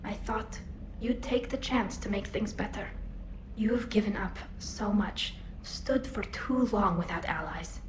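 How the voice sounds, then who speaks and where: A woman's voice: mid-range voice, measured pace, lightly acted. An actress voicing an earnest, hesitant young alien woman, a self-doubting admiral in a video game cutscene. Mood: tender, hesitant. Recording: roomy echo with clear background noise.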